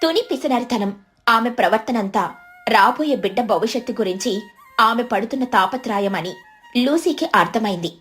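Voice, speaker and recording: A woman narrator with a high-pitched voice reads a scripted children's story. Light music plays softly behind her. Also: lively intonation, normal speed.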